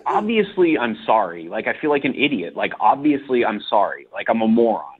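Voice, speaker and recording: A man calling in to a radio show, speaking haltingly and apologetically, stumbling over his words. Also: medium pitch, sing-song, breathy edge. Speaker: phone-in caller. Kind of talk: unscripted personal phone conversation. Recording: muffled, dull phone call.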